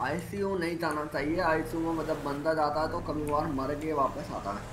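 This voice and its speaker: A man's voice: on the high side, fairly quick. A young man bantering casually and teasingly as a co-player over game voice chat. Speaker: co-player in online role-play game. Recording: noisy, echoey game voice chat.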